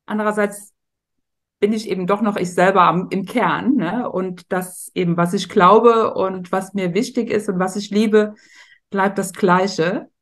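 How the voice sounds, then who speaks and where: A woman's voice: mid-range voice, fairly even intonation, unhurried. A middle-aged woman from Germany talking reflectively as a guest in a casual discussion. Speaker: guest, missionary NGO leader.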